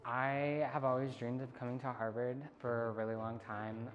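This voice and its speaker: A man's voice: medium pitch, flat delivery, moderate pace, long reverb tail, highs cut off, soft background music. A young male college student from rural North Carolina giving a casual interview testimonial. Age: young adult.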